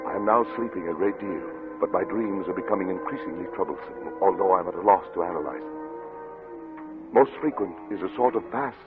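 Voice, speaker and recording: Obsessed, paranoid scientist, formal and theatrical, widely varied delivery, thin vintage radio sound with music. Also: male voice, low-pitched, animated, moderate pace. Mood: brooding, haunted. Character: obsessed, paranoid male scientist, haunted and defiant. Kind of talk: 1940s radio acting. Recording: tinny old radio recording with music.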